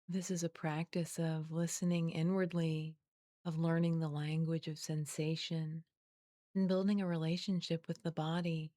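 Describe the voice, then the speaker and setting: Female meditation guide, slightly low voice, soothing and unhurried, very level intonation, close dry recording. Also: flat delivery, no echo. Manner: gentle, soothing, unhurried delivery with long pauses. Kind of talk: guided body scan meditation, scripted and slowly spoken.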